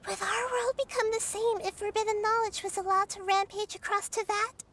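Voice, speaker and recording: A woman voicing a young child goddess who speaks softly and earnestly, pleading for calm. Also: extremely high pitch, normal speed, a little hiss or hum.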